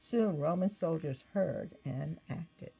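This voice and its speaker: A woman reading a scripted children's Bible story aloud in an expressive manner. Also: deep voice, slow pace, creaky, a little hiss or hum. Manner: expressive read-aloud with acted quotes. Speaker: storybook reader.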